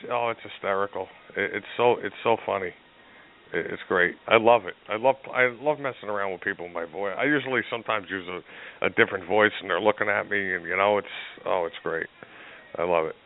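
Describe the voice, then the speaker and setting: A man from Newark with a New Jersey accent and a deep voice, chatting as a podcast guest, telling stories with lots of restarts and laughter. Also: a little fast. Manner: animated storyteller, many restarts and laughs. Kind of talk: casual chat. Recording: tinny phone-line sound.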